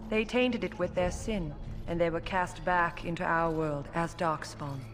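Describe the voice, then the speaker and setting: A woman playing a solemn, pious priestess in a fantasy game, preaching gravely like an orator. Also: on the low side, fairly even intonation, measured pace, over music, natural voice. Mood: solemn exhortation.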